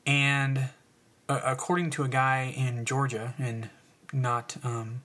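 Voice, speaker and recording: A man's voice: mid-range voice, little pitch movement, slow, bright, forceful tone. A man hosting a casual solo podcast, rambling with lots of fillers and sarcastic asides, sniffling as he talks. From United States. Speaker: podcast host.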